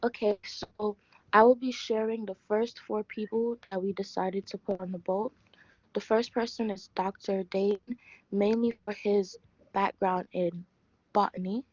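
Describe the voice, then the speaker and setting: A woman's voice: mid-range voice, steady tone, measured pace, dry recording, highs cut off. A young woman explaining her group's choices in a class presentation, with fillers. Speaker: student presenter.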